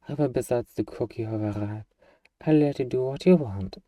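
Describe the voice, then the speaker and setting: A man's voice: medium pitch, brisk, mellow, no echo, far from the mic, lightly acted. A man playing an eager, bargaining demon who craves a cuddly pet, in a playfully earnest, wheedling ASMR roleplay monologue. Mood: breezy bargaining.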